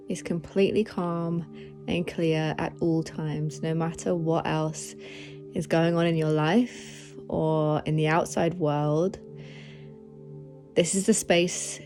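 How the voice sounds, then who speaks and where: Slow, mellow-voiced woman guiding meditation. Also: on the low side. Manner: gentle, soothing, unhurried instruction. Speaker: meditation guide, podcast host. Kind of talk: guided meditation.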